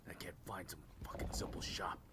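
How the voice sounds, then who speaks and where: A man playing a bitter, desperate young man on the run in an audio drama, talking roughly and swearing. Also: sits low, steady tone, a little fast, very noisy, lightly acted. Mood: bitter, dejected. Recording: music behind the voice.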